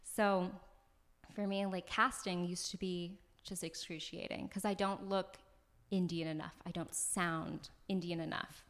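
Young American actress, podcast guest, slightly low voice, animated and laughing, casual. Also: female voice, unhurried. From United States. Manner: animated, laughs often, talks in bursts with many "like"s. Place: floor of an apartment on moving day.

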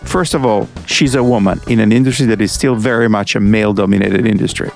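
A man hosting an interview, with a gravelly voice, introducing his guest and asking slow, deliberate questions. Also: medium pitch. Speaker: interview host.

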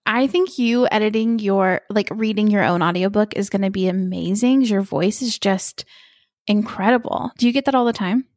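A woman's voice: mid-range voice, normal speed, no room sound, studio quality. A woman hosting a podcast, chatting and encouraging her guest in a casual interview. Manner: warm, encouraging, affirming listener. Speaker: podcast host.

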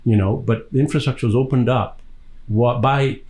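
A man in his sixties who grew up in Tanzania speaks as an interview guest. His delivery is conversational and reflective, with hesitant pauses, at a middling pitch. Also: steady tone, darkish tone. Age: 60s. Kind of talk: conversational personal storytelling.

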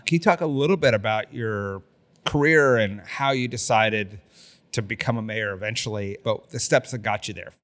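A man's voice: fairly low pitch, moderate pace. Friendly podcast co-host, man. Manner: friendly, scripted intro then relaxed chat. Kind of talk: podcast hosting.

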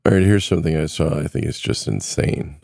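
A man's voice: very deep voice, animated, creaky, warm tone, no room sound, clean studio sound. A man who is losing his voice, hosting a casual talk podcast in a chatty way. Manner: chatty, repeats numbers slowly. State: losing his voice, sore throat. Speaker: podcast host.

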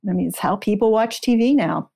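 A woman with a medium-pitched voice talks as an expert guest in an interview. She explains things in measured, informative detail, like someone sharing research. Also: gravelly, dark tone, breathy edge.

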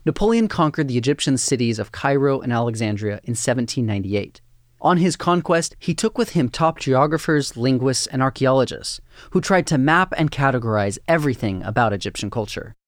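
A man reading scripted documentary narration in a measured, even way. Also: mid-range voice, no echo, studio quality.

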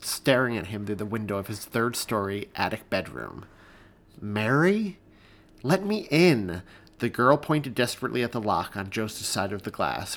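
A man hosting a podcast and reading his own story as expressive, deliberate narration. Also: lowish voice. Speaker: podcast host and author reading. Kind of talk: scripted narration, audiobook-style storytelling.

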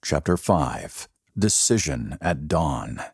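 Casting: male narrator, very low husky voice, theatrical scripted delivery for an adventure audio drama. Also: very animated, no room sound, studio quality. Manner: theatrical, deliberate storytelling.

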